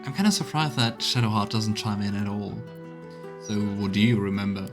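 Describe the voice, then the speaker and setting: A man's voice: fairly low pitch, moderate pace, music in the background. A man streaming a let's play, musing over the game and voicing his role-play on a close mic.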